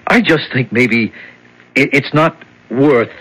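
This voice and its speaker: An actor playing a hesitant prison deputy with doubts in a 1970s radio drama. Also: male voice, mid-range voice, takes it slowly, fairly bright tone, husky, poor audio. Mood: uneasy. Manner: hesitant, uneasy.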